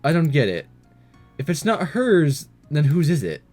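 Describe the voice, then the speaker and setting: A man's voice: fairly high pitch, wildly swooping pitch, unhurried, darkish tone, soft background music, pitched below the performer's own voice, exaggerated voice. A man voicing a supportive, honest teenage student in a visual novel, plain-spoken and encouraging. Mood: reassuring, puzzled. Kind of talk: visual novel dialogue read aloud.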